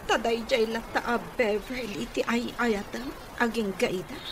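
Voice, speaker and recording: A woman's voice: a little high, unhurried, noisy background. A woman playing a hurt, betrayed young wife confronting her husband with emotional pleading and accusation in a radio drama. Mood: hurt, demanding.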